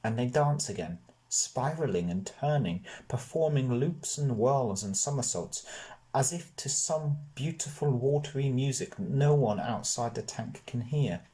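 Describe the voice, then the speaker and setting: A man narrating a children's novel in an expressive storybook style. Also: medium pitch, measured pace.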